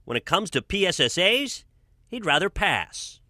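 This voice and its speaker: A man's voice: high-pitched, very animated, unhurried, pushed and bright. An American man working as an investigative reporter, delivering scripted broadcast news narration.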